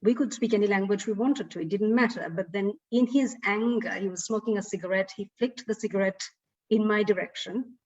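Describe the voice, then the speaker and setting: A middle-aged woman from Sri Lanka with a Sri Lankan accent, a guest speaker telling an animated personal story. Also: mid-range voice, brisk. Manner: animated storytelling with frequent laughs. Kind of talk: storytelling in an online talk. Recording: distant, dull lo-fi audio.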